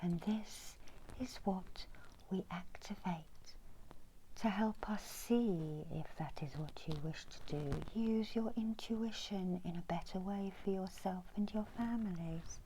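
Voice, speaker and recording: Very slow whispering woman guiding meditation. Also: mid-range voice, warm tone, slight background noise, rough recording. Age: middle-aged. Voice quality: breathy whisper. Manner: soothing, deliberate, with long pauses. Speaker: reiki healer guiding a meditation. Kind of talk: whispered ASMR guided meditation. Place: indoors, small room.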